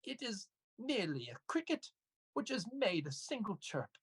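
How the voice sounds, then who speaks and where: A fearful old man from a gothic tale, voiced by a man, speaks in a raised, thin pitch. He sounds anxious and theatrical, as if trying to calm his own terror. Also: normal speed, slightly rough, exaggerated voice. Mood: anxious self-comfort. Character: frightened old man in bed, anxiously reassuring himself. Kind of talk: theatrical gothic story reading.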